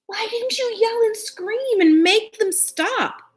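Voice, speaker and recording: A woman's voice: very high voice, expressive, slow, voice pushed up, exaggerated voice. A woman voicing a determined, curious young girl in a storybook, emphatic and insistent. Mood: curious, upset. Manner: emphatic, insistent questioning and commanding.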